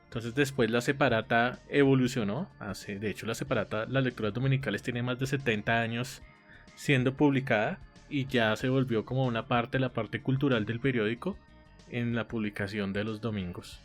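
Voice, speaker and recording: A man's voice: medium pitch, steady tone, normal speed, faint music behind. Man musing with many fillers. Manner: conversational, hesitant with many fillers. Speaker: podcast co-host. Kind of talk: casual podcast discussion.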